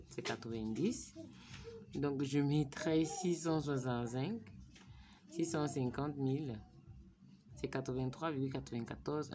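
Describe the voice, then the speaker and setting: A woman's voice: a bass voice, noisy background. A woman narrating a phone screen recording as a tutorial, thinking aloud as she types in numbers and sometimes dropping to a murmur. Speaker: vlogger.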